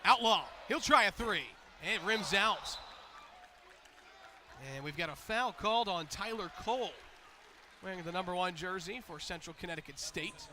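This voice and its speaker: An American man doing energetic live basketball play-by-play commentary, building to excited calls on big plays. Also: high-pitched, normal speed, long pauses, noisy background.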